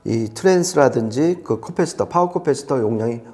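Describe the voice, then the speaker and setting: Man, mellow timbre, lively intonation, emphatic explainer, conversational audio reviewer. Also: medium pitch, moderate pace.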